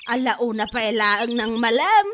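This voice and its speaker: Woman doing vain sassy street vendor mom, high bright voice, slow drawn-out whining, comedy drama. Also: lively intonation, slight background noise. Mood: fretful. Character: vain, sassy Ilocano mother, struggling halo-halo vendor. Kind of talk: Filipino comedy audio drama.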